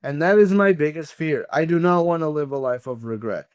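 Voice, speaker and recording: Podcast guest: man, native Russian speaker, high voice, smooth and clear, dry close sound, earnest motivational delivery. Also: fairly quick, darkish tone, no room sound. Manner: earnest, motivational, repeats words when starting sentences. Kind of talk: conversational interview.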